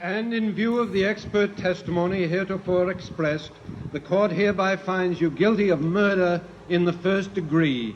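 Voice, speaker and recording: An actor in a 1950s radio drama playing a stern courtroom judge, formal and declamatory. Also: male voice, high-pitched, moderate pace, loud music behind the voice, lightly acted. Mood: stern, solemn. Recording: noisy, dull old radio recording.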